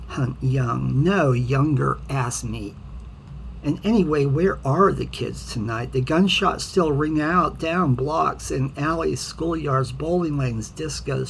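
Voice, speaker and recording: A man, an author who reads his own poetry and talks to viewers, with a gravelly, creaky voice. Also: mid-range voice. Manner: hesitant, self-deprecating when talking to viewers. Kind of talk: dramatic poetry reading, then casual rambling talk to viewers. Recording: clearly noisy amateur home recording.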